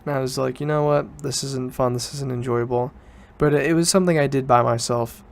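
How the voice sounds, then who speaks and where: A man's voice: mid-range voice, fairly even intonation, a little fast, creaky, a little hiss or hum. A young man, student age, talking casually and informally as a solo podcast host, rambling and correcting himself as he goes. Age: teens to young adult student.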